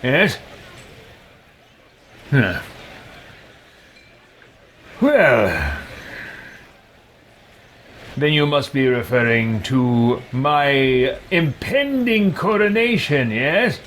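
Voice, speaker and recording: A man's voice: mid-range voice, big pitch swings, extremely slow, long pauses, fairly bright tone, a little hiss or hum, heavily acted. A man roleplaying an arrogant, greedy, villainous mage lord in a video game, with a haughty, disdainful manner.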